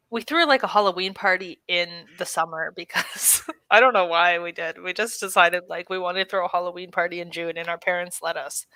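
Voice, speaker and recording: Brief: young woman, bright tone, dry close sound, excitable, full of "like.". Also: on the low side, no room sound. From Minnesota. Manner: lots of "like", excitable, laughs easily. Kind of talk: casual chat.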